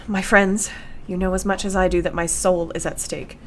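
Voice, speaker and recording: An actress playing a brave, devoted young wife in a radio play, speaking solemnly and formally. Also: female voice, lowish voice, little pitch movement, moderate pace, slight background noise, natural voice. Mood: solemn pleading.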